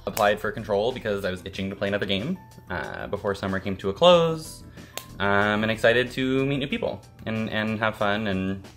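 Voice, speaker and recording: A man's voice: lowish voice, expressive, moderate pace, a bit bright, soft background music. A young man giving a laid-back self-introduction as a game contestant on a webcam at home. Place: room at home.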